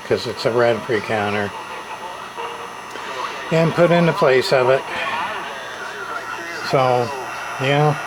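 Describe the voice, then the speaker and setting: A man talks slowly and plainly through a repair job, stopping and starting as he goes in an informal, hands-on way. There is clear background noise on the recording. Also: mid-range voice. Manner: halting, plain-spoken, informal grammar. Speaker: hobbyist repairer showing a radio repair. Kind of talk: casual hands-on repair commentary.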